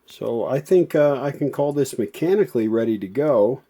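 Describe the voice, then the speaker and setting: A man giving a casual hands-on repair demonstration as a show host, thinking aloud in a folksy, unhurried way. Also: medium pitch, animated, mellow, husky. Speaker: host of a vintage audio repair channel.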